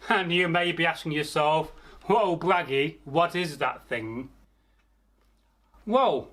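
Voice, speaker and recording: Slow, higher-pitched man telling a folktale. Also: bright, forceful tone. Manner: theatrical, unhurried, pauses mid-phrase, addresses listeners. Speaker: storyteller, channel host. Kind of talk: oral folktale storytelling, improvised.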